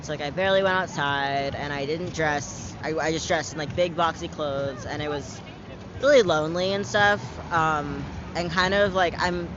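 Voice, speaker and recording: A man's voice: on the high side, normal speed, muffled recording, background noise. A young man in his late teens, answering a street interviewer candidly, with lots of fillers and casual swearing. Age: young adult. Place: outdoors in a city park.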